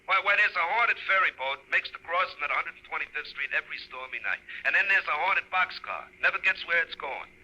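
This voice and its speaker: An actor playing a chummy, mischievous hobo ghost in a 1950s radio horror-comedy, with an American accent and slangy, ungrammatical buddy talk. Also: male voice, fairly high pitch, lively intonation, brisk, hardly any pauses, sharp tone, full character voice. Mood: boastful, animated. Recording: muffled old radio recording.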